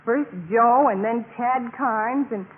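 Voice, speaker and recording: An actress playing an anxious, meek, eager young college pledge in a 1950s radio drama, earnest and apologetic. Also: female voice, a little high, takes it slowly. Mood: wistful, grateful. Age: young adult. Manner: apologetic, earnest, breathless gushing. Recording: noisy, tinny 1950s radio broadcast recording.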